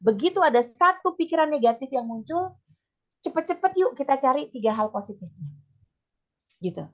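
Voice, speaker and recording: Middle-aged woman, fairly high voice, slightly hoarse, chatty informal trainer, explains step by step. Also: sing-song, normal speed. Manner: chatty, explaining step by step, sprinkles in English terms. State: slightly hoarse, voice not back to normal. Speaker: teacher giving a workshop. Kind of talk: informal training talk with personal examples.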